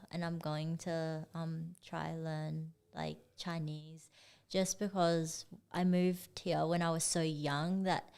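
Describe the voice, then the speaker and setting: A young woman from Singapore, guest artist chatting casually in an interview, relaxed and full of "like" and "um". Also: fairly low pitch, clear, resonant tone. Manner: relaxed, many fillers like "like" and "um".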